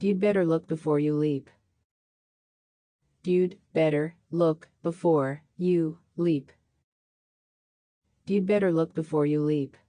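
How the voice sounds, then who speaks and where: A woman reading practice sentences aloud for a language lesson, saying each one three times with a slowed repeat. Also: deep voice, mellow, no echo. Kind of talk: slow scripted sentence drills.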